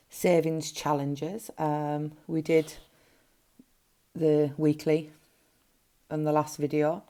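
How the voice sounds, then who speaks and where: A middle-aged woman with a gruff voice, chatting casually as a budgeting vlogger while counting money at a table at home. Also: low voice, warm tone. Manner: chatty, counting notes under her breath. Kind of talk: casual cash-stuffing vlog narration. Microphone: overhead camera framing hands.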